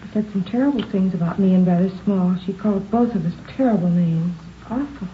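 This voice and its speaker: A thin, phone-like old radio recording with faint noise holds a woman acting as a young churchgoer. She is anxious and earnest, pleading her case in a fretful voice of medium pitch. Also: fairly quick, lightly acted. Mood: troubled. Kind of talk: 1950s radio drama acting.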